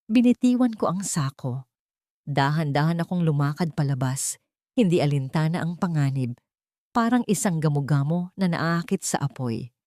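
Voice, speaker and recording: A woman's voice: low-pitched, darkish tone, no echo, studio quality. A woman narrating a dramatic first-person story in a tense, suspenseful way.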